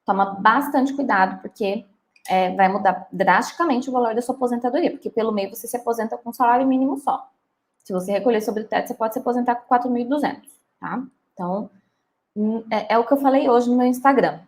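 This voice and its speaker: A woman's voice: a little high, fast talker. Woman, 30s, lawyer on a live Q&A; chatty, checks in with listeners often. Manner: chatty and reassuring, often ends with "tá?". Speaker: lawyer answering viewer questions. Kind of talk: live Q&A, reading comments aloud.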